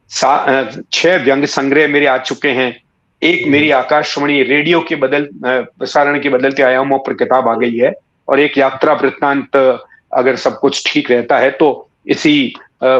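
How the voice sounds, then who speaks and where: A man in his sixties answering in a reflective interview, explaining in literary words and pausing mid-sentence to find the next one. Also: mid-range voice, a bit bright, highs cut off. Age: 60s. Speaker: interview guest, satirist and writer.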